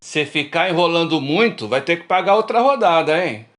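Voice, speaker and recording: A man's voice: fairly high pitch, expressive, moderate pace, a bit bright. A man playing a cautious but teasing middle-aged old friend in a radio drama.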